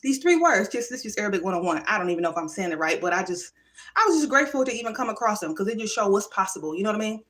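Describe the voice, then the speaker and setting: Woman, mid-pitched, casual livestream vlogger, emphatic and preachy. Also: very fast. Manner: animated, emphatic, drifts between musing and preachy. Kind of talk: casual spiritual livestream monologue. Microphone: phone or webcam livestream.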